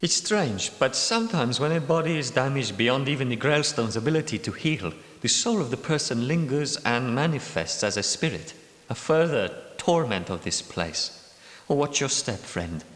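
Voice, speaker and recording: Brief: male actor playing a secretive, centuries-old knight, weary and bitter, middle pitch, long echo. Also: expressive, fairly bright tone, natural voice. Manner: world-weary, bitter, measured. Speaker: game character. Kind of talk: game character voice lines. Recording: echoey reverberant room.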